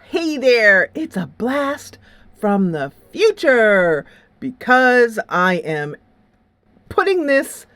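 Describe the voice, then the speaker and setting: A woman's voice: fairly high pitch, big pitch swings, extremely slow, fairly bright tone. A woman hosting a game channel, talking casually and playfully in slangy, informal solo commentary. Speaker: game reviewer and channel host.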